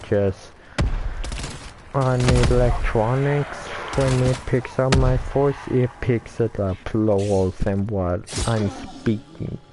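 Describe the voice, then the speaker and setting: A man giving casual gameplay commentary in a halting, stuttering way, with many restarts and fillers. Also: mid-range voice, noisy background.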